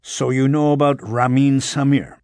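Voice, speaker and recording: A man voicing a tough, defiant police detective in an audiobook, gruff and blunt in manner. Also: mid-range voice, fairly even intonation, normal speed, a little mellow, dry recording, studio quality, close to an everyday voice. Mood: impatient, blunt. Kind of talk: audiobook character dialogue.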